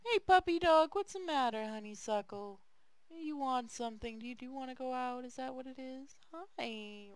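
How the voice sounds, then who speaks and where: A woman's voice: sits high, moderate pace, clear, resonant tone. A woman chatting casually on a game stream in a playful, giggly, teasing way. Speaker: streamer reading a visual novel aloud. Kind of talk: casual game stream chat and read-aloud.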